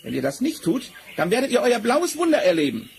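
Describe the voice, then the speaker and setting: Very high, creaky sly tomcat. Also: male voice, huge pitch swings, a little fast, faint music behind, full character voice. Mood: commanding. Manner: wheedling, cunning, theatrical. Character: sly, bossy talking tomcat in boots. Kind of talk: 1970s German fairy-tale radio play acting. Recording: noisy vinyl record transfer.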